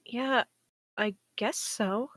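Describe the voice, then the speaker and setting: A woman playing a naive, bewildered space probe with a halting, questioning way of talking in an audio drama. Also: on the high side, a bit bright, no room sound, heavily acted. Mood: hesitant. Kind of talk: table read of a script, audio drama acting.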